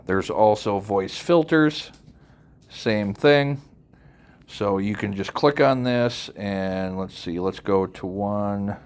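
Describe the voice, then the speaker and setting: A man narrating an unscripted software tutorial, informally walking the listener through it step by step. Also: fairly low pitch, slow, clean tone. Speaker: tutorial presenter.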